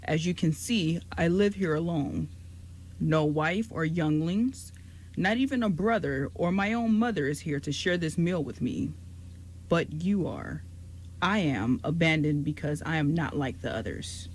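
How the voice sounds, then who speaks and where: A kindly male giant as an actor plays him, polite and caring, with deliberate, formal wording and a medium pitch. It is radio drama, recorded with faint noise behind. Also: lightly acted. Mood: lonely, earnest. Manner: careful, formal, deliberate phrasing. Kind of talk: amateur radio play acting.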